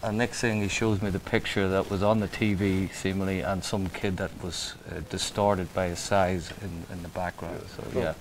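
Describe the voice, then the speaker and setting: A man's voice: low voice, little pitch movement, normal speed, few pauses, a little hiss or hum, rough recording. Easygoing Irish man telling stories. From Ireland. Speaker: guest, bar owner. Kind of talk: casual chat.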